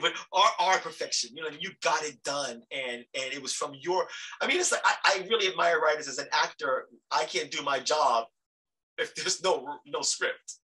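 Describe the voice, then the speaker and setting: Bright, higher-pitched male talk show host. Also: recorded from a distance, low-quality recording. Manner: effusive, emphatic, repeats words. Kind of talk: casual, chatty interview.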